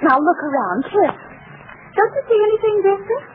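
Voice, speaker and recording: Lovestruck young girlfriend, high voice, swooping pitch, pleading, whiny, 1940s radio comedy. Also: sing-song, brisk. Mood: coaxing, exasperated. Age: young adult. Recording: tinny, noisy 1940s radio broadcast.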